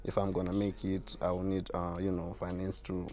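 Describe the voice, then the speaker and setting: A man with a deep voice, a self-assured artist answering interview questions. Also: monotone, brisk. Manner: self-assured, boastful about his skill. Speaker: rapper and artist, guest.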